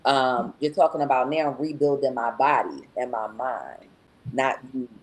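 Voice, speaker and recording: A woman's voice: a bass voice, a little slow, rough recording. A woman speaking as a podcast guest about her husband's recovery, explaining in an earnest, reflective way with frequent "um" and "right?". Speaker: podcast guest, patient's wife. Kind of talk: casual podcast testimonial.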